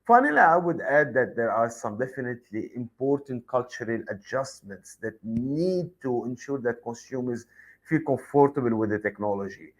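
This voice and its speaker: A man in a mid-pitched voice, giving an expert interview answer. He lays out his points in order, calm and measured, and walks the listener through each one. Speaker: expert guest.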